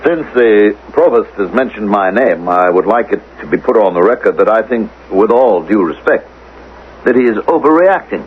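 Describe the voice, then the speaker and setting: A man's voice: on the low side, expressive, moderate pace, close to an everyday voice. A man playing a cool-headed, skeptical agent in a futuristic radio drama, formal and questioning. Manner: formal, questioning, matter-of-fact. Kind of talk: 1980s radio drama acting. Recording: narrow-band old radio recording, faint noise.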